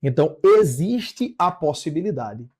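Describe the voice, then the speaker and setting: Brazilian accent, law lecturer, unhurried pace, didactic, repetitive phrasing, frequent check-in tags. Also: mid-range voice, expressive, darkish tone, breathy edge. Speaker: law teacher. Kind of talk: explanatory lecture on constitutional law.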